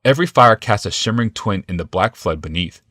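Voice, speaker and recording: A man narrates a fantasy novel in a low voice. The recording is close and dry, in a scripted storytelling style. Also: animated, fast talker, a bit bright, no room sound. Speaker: audiobook narrator.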